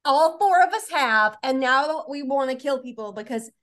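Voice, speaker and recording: High-pitched, blunt woman bantering casually. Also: animated, normal speed, fairly bright tone. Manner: blunt, irreverent, swears. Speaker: book podcast co-host. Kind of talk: casual banter and book discussion.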